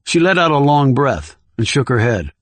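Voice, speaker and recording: A man's voice: medium pitch, sing-song, normal speed, no echo. A man reading scripted thriller narration as an audiobook narrator.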